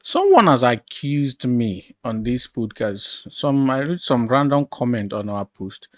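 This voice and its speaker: Animated Igbo-accented man, lively pitch. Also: unhurried, no room sound, studio quality. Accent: Igbo Nigerian. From Nigeria. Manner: animated, laughs while telling stories. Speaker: podcast host. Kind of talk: casual interview chat.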